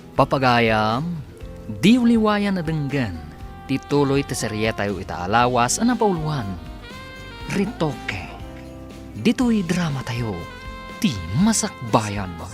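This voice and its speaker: A male announcer with a lively, swinging delivery over clear music. He narrates a radio serial in a dramatic, scripted style. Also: medium pitch, a little slow, long pauses. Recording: music behind the voice.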